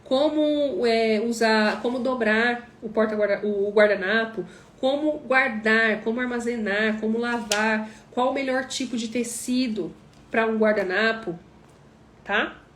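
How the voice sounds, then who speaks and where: A woman's voice: on the high side, a little slow, echoey room. A woman from Brazil teaching on a live stream as a course mentor, speaking emphatically, repeating points and asking rhetorical questions.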